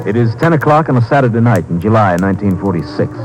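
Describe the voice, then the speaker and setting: A man's voice: lowish voice, lively intonation, fast talker, music in the background, rough recording. A man giving scripted narration in the manner of a 1940s radio drama.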